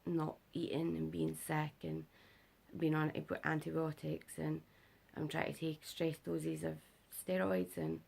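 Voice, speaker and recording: A young British woman giving a casual vlog update, her voice rough and worn because she is unwell and has not slept, rambling with lots of fillers. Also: measured pace, darkish tone. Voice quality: rough gravelly voice. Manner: rambling, filler-heavy, low-energy. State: unwell and sleep-deprived. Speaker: vlogger.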